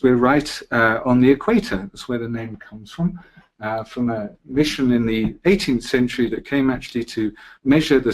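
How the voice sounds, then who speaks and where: A middle-aged man with a British accent presents a live travel webinar from an office. He talks in a friendly, chatty way and fills his pauses with frequent "uh"s. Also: mid-range voice, low-quality recording. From Britain. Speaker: webinar host. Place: office in Quito.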